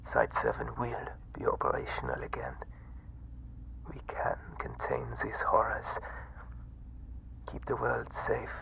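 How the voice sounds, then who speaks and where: A man voicing a frail, obsessive mad scientist with a breathy, halting delivery in an ASMR horror roleplay. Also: lowish voice, big pitch swings, fairly bright tone, exaggerated voice, poor audio. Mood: secretive, persuasive. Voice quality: breathy, whispery. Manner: halting, theatrical. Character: weak, obsessive mad scientist doctor running a monster containment site.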